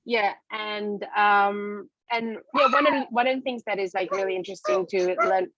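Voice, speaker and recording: A woman in her thirties from Indonesia speaking informally on a panel over a video call, hesitantly, with frequent pauses and repeated phrases. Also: mid-range voice, a bit bright, no echo. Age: 30s. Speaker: panelist, children's science programme co-founder. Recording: dull, lo-fi video call audio.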